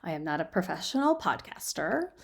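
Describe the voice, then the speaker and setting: A woman's voice: low-pitched, very animated, a little fast, gravelly. A woman reading and chatting as an amateur storyteller, informal and self-deprecating, recorded in a guest room on a laptop microphone. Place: quiet guest room at home. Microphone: built-in laptop microphone.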